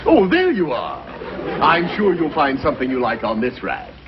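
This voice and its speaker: A man's voice: mid-range voice, wildly swooping pitch. An actor playing a fussy, sarcastic department-store clerk in a radio comedy, gushing and drawing out his exclamations. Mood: smug, snide. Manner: gushing, drawn-out exclamations, snide. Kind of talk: 1950s radio comedy acting. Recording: dull, noisy 1950s radio recording.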